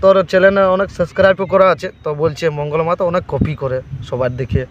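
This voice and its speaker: A high-pitched male voice with a Purulia Bengali accent, chatting casually as a prank caller. He needles the other person and circles back to the same points. The sound is dull and lo-fi, with clear noise behind him. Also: quick pace. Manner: needling, repeats accusations. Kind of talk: casual teasing phone chat.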